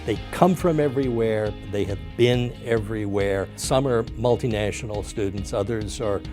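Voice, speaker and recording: A man describes the program's students, repeating words now and then. His voice is fairly low. Guitar music plays clearly behind. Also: normal speed. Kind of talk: interview.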